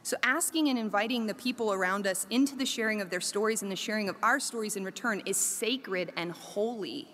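A woman professor giving a reflective, prepared talk, earnest and measured, with emphatic lists. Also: mid-range voice, a bit bright, big-room sound. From Korea.

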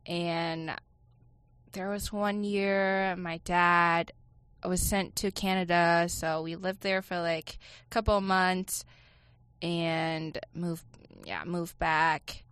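A woman's voice: on the low side, level tone, slow, clean tone, no room sound. A young woman from China, a student, answering interview questions in a chatty way full of "like". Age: young adult. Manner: chatty, many "like" fillers, soft-spoken. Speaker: student guest.

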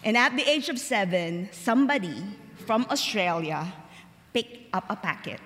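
A young woman from the Philippines, giving a personal testimony as a chapel speaker, chatty and slowing down for emphasis. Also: mid-range voice, lively intonation, a bit bright. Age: young adult. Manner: conversational, laughs easily, slows for emphasis. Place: large chapel. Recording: long hall reverb.

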